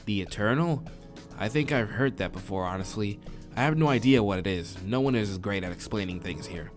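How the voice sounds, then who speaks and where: A man's voice: fairly low pitch, animated, brisk, faint music behind, lightly acted. A man reading as a weary, sceptical human stranded among monsters in a visual novel, plain and downbeat. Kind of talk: visual novel dialogue and first-person narration read aloud.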